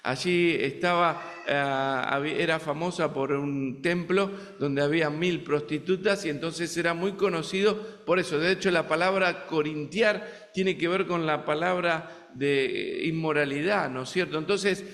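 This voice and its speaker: A man's voice: a little high, fairly even intonation, a little slow, hardly any pauses, a bit bright, in a large hall. A man with an Argentine accent, preaching a sermon as a guest preacher in a conversational way, often turning to the congregation with rhetorical questions. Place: church sanctuary in the United States.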